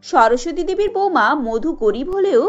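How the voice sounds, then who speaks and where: High-pitched woman narrating a cartoon story. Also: expressive, normal speed, muffled recording, soft background music.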